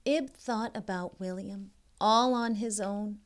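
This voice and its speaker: A woman reading a children's story aloud as a tutor, expressively and deliberately, with informal, encouraging asides to young listeners. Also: mid-range voice. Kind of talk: modeled children's read-aloud with chatty asides.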